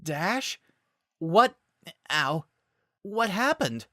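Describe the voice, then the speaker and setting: A man playing a young woman mage in a storybook reading, frantic and easily startled. Her voice jumps high and lively, with a bright edge. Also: very slow, dry recording, clean studio sound, heavily acted. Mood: alarmed. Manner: high-pitched and excitable.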